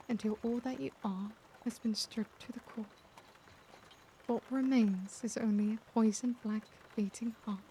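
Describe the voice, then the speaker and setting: A woman acts out a tender, tormented vampire lady in an intimate ASMR roleplay. Her voice is dark and relaxed, often slipping toward a breathy whisper, with formal, old-fashioned phrasing. Faint-to-clear background ambience sits behind her. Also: on the high side, a little hiss or hum, lightly acted, low-quality recording.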